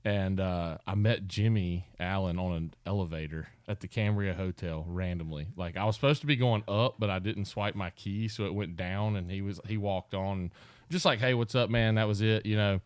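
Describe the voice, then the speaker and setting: A man chats as a guest on a podcast. His voice is low and resonant, recorded close and dry. He tells stories casually, full of slang and laughter. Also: moderate pace, smooth, dry recording. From North Carolina, United States. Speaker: guest, country singer in a duo.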